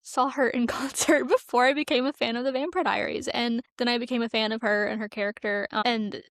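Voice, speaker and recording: A woman co-hosting a podcast, casually telling nostalgic personal stories and acting out quoted dialogue in an animated way. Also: fairly high pitch, brisk, no echo, studio quality. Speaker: podcast co-host. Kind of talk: casual chat, nostalgic anecdotes.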